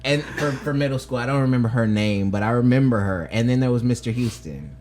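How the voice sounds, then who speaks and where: A man's voice: medium pitch, quick pace, a little mellow, slight background noise. A man co-hosting a podcast, telling rambling stories in casual chat. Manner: rambling storyteller, trails off and restarts. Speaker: podcast co-host.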